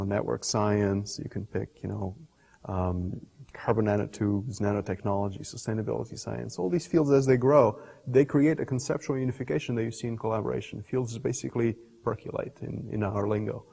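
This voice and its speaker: A middle-aged man, a scientist explaining ideas in an interview in a thoughtful, halting way, often rephrasing mid-sentence. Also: lowish voice, steady tone, vocal fry, warm tone, slightly rough. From Portugal. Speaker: scientist and professor being interviewed. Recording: reverberant hall-like room echo.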